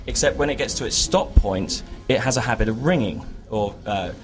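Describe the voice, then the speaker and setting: A man's voice: medium pitch, moderate pace, faint music behind. A man giving an informal, explanatory product presentation in an interview, with a hesitant and lightly joking manner.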